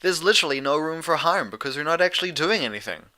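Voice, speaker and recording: Man voicing an earnest bookish girl pony, bright. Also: fairly high pitch, quick pace, pitched above the performer's own voice. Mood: reassuring. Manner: explanatory, excitable, slightly awkward.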